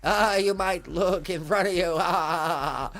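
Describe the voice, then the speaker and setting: A crotchety old she-sheep running a little shop, put on by a man reading a storybook, raised high and loud with slow, bleating drawn-out words. She sounds fussy and set in her ways. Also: full character voice. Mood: grumbling. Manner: bleats, tacks "ah" and "bah" onto phrases. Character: old female sheep shopkeeper, knitting, crotchety.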